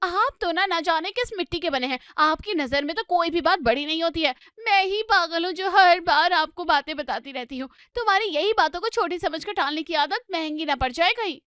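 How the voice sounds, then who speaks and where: Woman acting a high-pitched, nagging, gossipy housewife. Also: expressive, fairly quick, few pauses, fairly bright tone, no echo, clean studio sound. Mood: scolding, exasperated. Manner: dramatic, nagging, exclamatory. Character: excitable gossipy scolding middle-aged Indian housewife and mother. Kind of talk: Hindi comedy story dramatisation.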